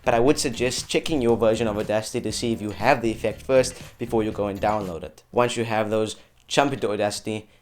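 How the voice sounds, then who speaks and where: A man's voice: fairly low pitch, quick pace, slight background noise. A man narrating an upbeat, scripted tutorial as host, talking chattily and directly to his viewers. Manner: chatty, addresses viewers as guys. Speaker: host of an effects tutorial channel. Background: background music.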